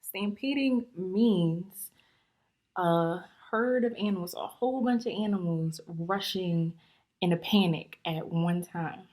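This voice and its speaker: A woman teacher reading a picture book aloud to young students in a deliberate, instructive way, pausing to explain and ask questions. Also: fairly low pitch, a little mellow.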